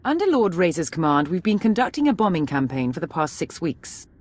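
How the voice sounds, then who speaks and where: An actress playing a brisk, authoritative military major giving briefings in a video game. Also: female voice, on the low side, sing-song, darkish tone. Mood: formal. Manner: crisp military briefing delivery.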